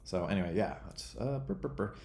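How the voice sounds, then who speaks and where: A man in his forties chatting casually as a host, rambling and thinking out loud in a self-deprecating way. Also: fairly low pitch, lively intonation, a little fast, creaky, reverberant. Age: 40s. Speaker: host and game developer answering chat questions. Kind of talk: casual freeform chat.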